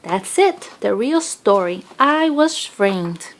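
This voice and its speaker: A woman's voice: mid-range voice, big pitch swings, slow, slight background noise. A woman reading a children's storybook as an indignant, self-pitying male wolf, in a conversational, mock-innocent manner. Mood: aggrieved. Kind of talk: children's storybook read-aloud.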